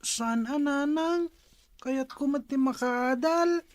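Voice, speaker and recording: Meek, slow-spoken little schoolboy. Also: female voice, high voice, a little mellow, dry recording. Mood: meek, apologetic. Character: meek, apologetic young schoolboy. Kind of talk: Ilocano radio drama acting.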